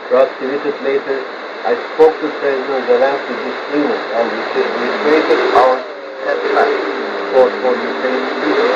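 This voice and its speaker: A man reads a scripted international news bulletin in a formal, somewhat halting manner, heard over shortwave radio. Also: medium pitch. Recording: hissy, noisy, muffled shortwave radio reception. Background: loud static hiss.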